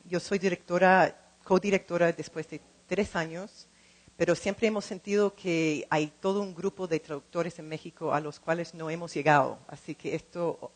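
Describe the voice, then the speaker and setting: A middle-aged woman speaking in a second language as she gives an informal talk, halting and repeating words as she searches for the next one. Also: low voice. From lives in California. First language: not Spanish, speaks it as a second language. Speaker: translator and program co-director.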